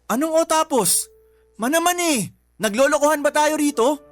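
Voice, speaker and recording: An aggrieved grown son in a Filipino radio drama. He pleads and protests in a wounded, indignant way. Soft music sits behind the voice. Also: extremely high pitch, animated, normal speed, dry recording. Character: hurt, aggrieved grown son, a working-class waiter. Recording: faint music bed.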